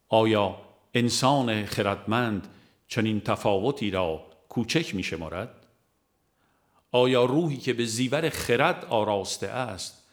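A man reading a devotional audiobook in a measured, solemn, formal literary manner. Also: on the low side. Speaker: audiobook reader. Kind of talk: scripted narration of a devotional text.

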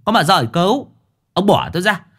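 A man voicing a fussy, sharp-tongued male master who nags and scolds in a rapid-fire way. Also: fairly high pitch, sing-song, pitched above the performer's own voice. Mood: shrill exasperated.

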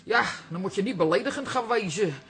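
An actress in a radio comedy playing a chatty, self-important former stage actress with a theatrical, gushing manner. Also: female voice, medium pitch, lively intonation, brisk, natural voice. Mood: indignant. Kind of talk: radio comedy drama acting.

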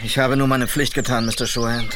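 An actor playing a dutiful western police officer in a German radio drama, gravelly, restrained and courteous. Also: male voice, medium pitch, little pitch movement, brisk, background noise, close to an everyday voice. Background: music.

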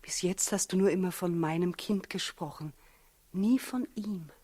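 An actress playing a pregnant young wife, anxious yet resolute, in a 1960s German radio play, with a breathy, husky voice. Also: female voice, lowish voice, measured pace, mellow. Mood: anxious, tender.

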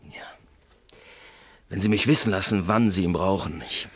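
A man acting a gruff, reserved gamekeeper in a radio play, speaking in terse, blunt phrases with grudging deference. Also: low-pitched, moderate pace, noisy background, close to an everyday voice. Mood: grudging, stubborn.

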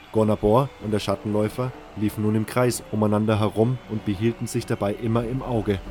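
A man's voice: fairly low pitch, a little mellow. A man reading scripted first-person fantasy narration as the narrator of an audio drama. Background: music.

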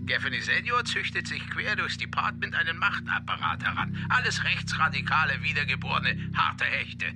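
An actor playing a gruff police captain in a radio drama, dry, sardonic and clipped. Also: male voice, on the high side, lively intonation, normal speed, natural voice. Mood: dry, brisk. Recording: loud music and radio behind.

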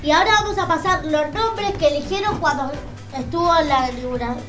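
A woman presents community radio announcements casually. Music plays clearly behind her as she co-hosts. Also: high-pitched, a little fast, a bit bright.

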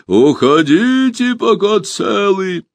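A man voicing a slow-witted forest monster that roars short threats in a hollow voice. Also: sits high, big pitch swings, creaky, no room sound, voice pushed up, full character voice. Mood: roaring. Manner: slow, loud, blunt roaring. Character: dim bellowing forest monster guarding a spring.

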